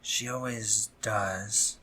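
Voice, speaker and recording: A man playing an anxious, awkwardly tender spider-monster medic in a scripted romantic audio roleplay, speaking formally and haltingly with a breathy, slightly husky voice. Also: fairly low pitch, fairly even intonation, noisy background. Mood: tense, self-scolding. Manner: formal, stammering, halting when flustered.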